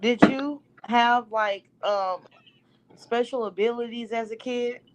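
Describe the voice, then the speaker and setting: A woman hosting an online call-in chat, casual and quick to agree with her guests. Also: a little high, steady tone, very noisy. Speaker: host of a call-in talk show.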